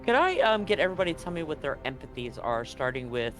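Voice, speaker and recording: A man's voice: a little high, very animated, fast, over music. A man acting as game master, casually narrating a tabletop roleplaying game with bantering, rambling asides.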